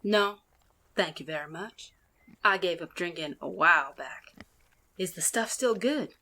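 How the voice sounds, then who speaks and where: A woman's voice: fairly low pitch, a little slow, sharp tone. A woman playing a polite, mysterious wandering stranger with a Southern US accent in an amateur audio drama. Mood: polite, reserved.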